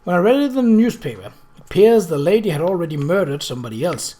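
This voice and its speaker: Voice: medium pitch, big pitch swings, fast talker, dark tone, close to an everyday voice. A reader voicing a self-important male servant who tells grisly gossip in a dramatic, folksy way for a story. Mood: eager gossip.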